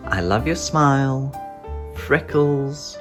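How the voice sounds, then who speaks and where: A narrator reading a picture book aloud to young children in a gentle, affectionate way with drawn-out phrasing. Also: medium pitch, animated. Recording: music behind the voice. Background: music.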